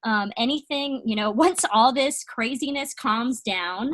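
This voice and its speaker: A woman's voice: on the high side, unhurried, a bit bright. A woman hosting an interview show, upbeat and playful, encouraging her guest. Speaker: morning show host.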